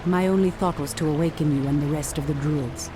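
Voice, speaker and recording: Woman acting a low-voiced, proud elf priestess. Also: a little fast, noisy background, close to an everyday voice. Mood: urgent, earnest. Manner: formal, earnest, firm dramatic delivery. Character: proud, resolute night elf warrior priestess. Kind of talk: dramatic fantasy game acting. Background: loud music.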